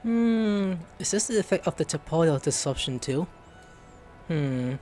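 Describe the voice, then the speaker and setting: A man giving casual gameplay commentary as a video host, excitable, reactive and prone to swearing. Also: fairly high pitch, a little slow. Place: small room indoors at a computer. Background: faint game music.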